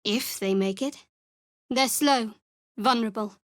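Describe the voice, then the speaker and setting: A wary, sardonic young cleric. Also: female voice, on the high side, unhurried, fairly bright tone, no room sound. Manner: dry, wary and cutting. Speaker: game character. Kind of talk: studio game voice acting.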